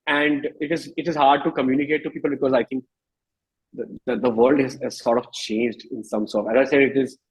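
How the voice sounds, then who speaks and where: A man in his thirties with an Indian accent speaks in a slightly husky voice at a medium pitch. He talks like a musician in a relaxed interview, casual in wording but emphatic, often repeating a phrase to drive it home. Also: brisk, rough recording. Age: 30s.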